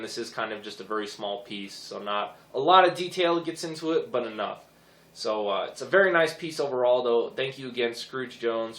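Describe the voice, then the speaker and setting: Young male collector, hoarse, rambling delivery, echoey room. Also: medium pitch, a bit bright. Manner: rambling, many fillers like uh and you know. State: hoarse, losing his voice. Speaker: toy collector reviewing figures. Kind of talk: casual review vlog.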